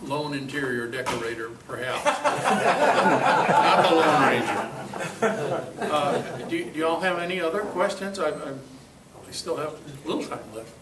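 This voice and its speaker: A man giving an informal, halting, jokey show-and-tell talk to a club meeting, in a folksy way. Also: on the high side, sing-song. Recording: echoey room, distant mic, clear background noise.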